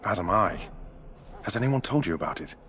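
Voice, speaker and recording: An actor playing an earnest, courteous knight in a fantasy game, speaking formally. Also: male voice, on the low side, animated, fairly quick, fairly bright tone. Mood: earnest, polite. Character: earnest, courteous knight eager to prove himself.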